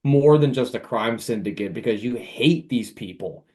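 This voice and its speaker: A man's voice: medium pitch, animated, moderate pace, darkish tone. A man chatting casually on a podcast like an enthusiastic fan, often repeating and restarting his phrases.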